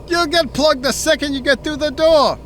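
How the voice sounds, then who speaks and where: A man's voice: very high-pitched, normal speed, a bit bright, slight background noise. A man playing a whiny, panicky gangster henchman in an old-time detective radio drama. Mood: pained, panicky.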